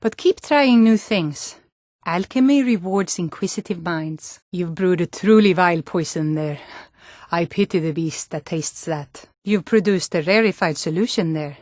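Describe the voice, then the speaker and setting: A woman's voice: lowish voice, unhurried, darkish tone, dry recording, studio quality, lightly acted. Actress as a firm Nordic fantasy warrior woman. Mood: measured, approving. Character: hardy Nordic warrior woman, townsfolk and guards of fantasy realm. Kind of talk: scripted fantasy video game character dialogue.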